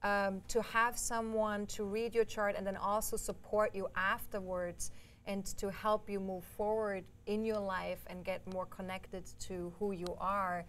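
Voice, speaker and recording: A woman's voice: mid-range voice, flat delivery, a little slow, a bit bright. Woman over audible background noise. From Vienna, Austria. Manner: explanatory, laughs easily. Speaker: guest astrologer and life coach. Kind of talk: conversational interview answers.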